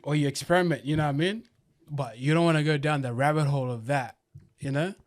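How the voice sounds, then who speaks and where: A man's voice: on the high side, quick pace. A young man who grew up in Uganda and Australia, a podcast guest talking casually in street slang and leaning on "you know what I mean". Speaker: guest, event promoter. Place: podcast studio.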